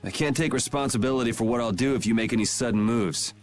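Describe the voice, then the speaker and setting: A man's voice: medium pitch, fast, lightly acted. Actor as sardonic, streetwise young man. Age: young adult. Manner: dry, laid-back, wry. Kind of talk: video game voice acting. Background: game music.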